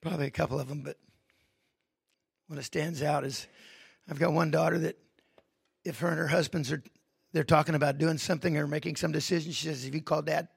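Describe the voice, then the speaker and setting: A middle-aged man preaches with a gravelly, husky voice. He talks quietly and reflectively about family, then rises into loud, emphatic preaching. The sound is dry and close. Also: a little high, steady tone, a little fast, pauses often, no echo. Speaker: pastor. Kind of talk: sermon.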